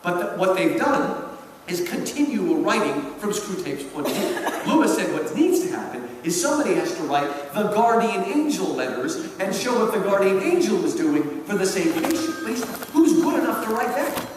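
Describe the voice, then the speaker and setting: A man giving an animated public lecture as a college teacher, with an emphatic, theatrical storytelling manner. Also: sits high, moderate pace. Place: large indoor hall at a public event. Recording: very echoey hall from far off, faint noise.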